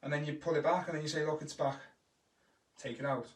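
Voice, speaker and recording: Scouse kids' entertainer, fairly high voice, chatty live tutorial, echoey room, mic set back. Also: male voice, fast talker. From Liverpool, England. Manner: speeds up when excited, chatty and encouraging. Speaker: kids' entertainer teaching magic tricks. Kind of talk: casual live-stream tutorial. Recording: roomy echo, mic at a distance.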